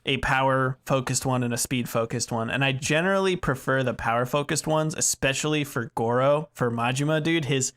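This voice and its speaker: A man's voice: mid-range voice, a little fast, few pauses, fairly bright tone. A man co-hosting a podcast, chatting casually about video games in slangy language with casual swearing. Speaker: podcast co-host.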